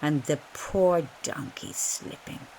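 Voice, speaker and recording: A woman's voice: sits low, pitched below the performer's own voice, full character voice. A woman with an Irish accent playing a sensual, defiant wife lost in memories, in a dramatic literary stage monologue. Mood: dreamy, rapturous. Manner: dramatic, unhurried, swinging between emphatic bursts and breathy murmurs. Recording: live concert recording with background noise.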